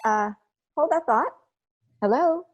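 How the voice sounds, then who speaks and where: A friendly, attentive sitcom mom reading lines in a table read. Her voice sits on the higher side and sounds everyday and natural. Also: animated, slow, dull audio, a little hiss or hum. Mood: cheerful, curious. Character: friendly, attentive suburban mom of a teenage girl.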